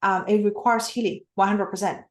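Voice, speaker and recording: Earnest coach in an echoey room. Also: female voice, medium pitch, steady tone, a little fast. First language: not English. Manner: simple, earnest explanations with frequent restarts. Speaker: guest coach. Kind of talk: podcast interview.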